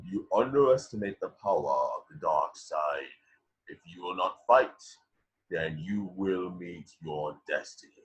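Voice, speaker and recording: A man playing a menacing, stern dark lord in a table read of a film script, over a video call. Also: deep voice, measured pace, breathy edge. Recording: dull video-call audio.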